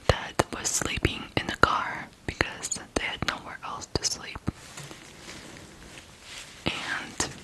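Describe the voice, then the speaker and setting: A person from Ukraine telling stories in whispered ASMR style, softly and deliberately, pausing between short phrases. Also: rough recording. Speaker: ASMR true-crime host.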